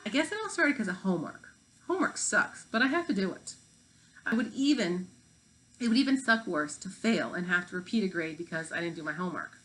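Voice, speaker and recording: A woman's voice: on the low side, lively intonation, fairly quick, fairly bright tone, low-quality recording. A slacker fifth-grade girl acted by a woman speaks in blunt kid slang. She is an unbothered storybook schoolgirl with no love of school. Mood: disgruntled.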